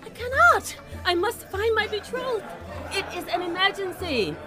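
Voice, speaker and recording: A woman's voice: very high-pitched, expressive, unhurried, over music, crowd noise. A woman playing a timid, polite, anxious young lady of the court in an audio drama. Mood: flustered.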